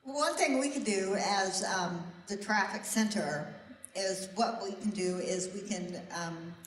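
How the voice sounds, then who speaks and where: Lady on a panel explaining things, kinda halting, mid pitch, echoey room. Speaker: traffic center panelist.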